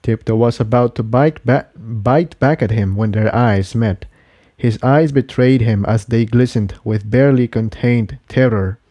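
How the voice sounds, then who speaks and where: A man reading scripted fiction aloud as an expressive story narrator. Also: medium pitch, unhurried, no room sound.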